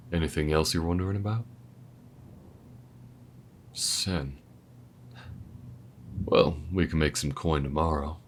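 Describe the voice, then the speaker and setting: Man voicing a alien gunslinger mentor, very deep voice, laid-back drawl, audio roleplay. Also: slight background noise. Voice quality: very deep, low-key drawl. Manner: laid-back, soft-spoken, frequent near-whispers. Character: calm weathered male alien gunslinger mentor.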